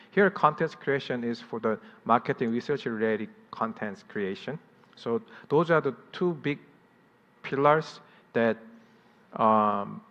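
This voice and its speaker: A man's voice: medium pitch, fairly bright tone. A man with a Korean accent, whose first language is Korean, giving a conference presentation in English with many fillers and restarts. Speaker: conference speaker. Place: conference hall. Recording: dull audio in a hall with long reverb.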